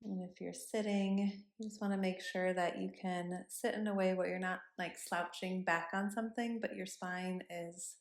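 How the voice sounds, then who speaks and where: A woman yoga instructor leading a body scan meditation in a gentle, instructive manner with pauses between cues. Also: on the low side, steady tone. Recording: roomy, echoing sound.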